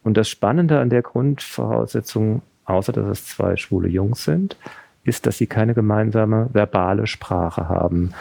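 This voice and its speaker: A man's voice: lowish voice, a little slow, vocal fry, mellow, breathy edge, dry recording. A man interviewing an author, conversational and thinking aloud with fillers. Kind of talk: interview questions and summaries.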